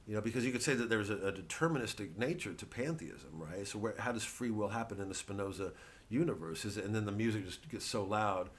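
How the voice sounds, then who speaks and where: Man, fairly low pitch, comedian, rambling monologue, halting, digressive, sweary. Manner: acts out mock quotes and voices. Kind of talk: rambling solo satirical monologue.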